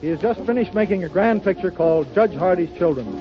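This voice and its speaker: High-voiced male 1930s radio variety host. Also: lively intonation, fast. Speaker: host introducing guests. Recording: muffled 1930s radio recording with music.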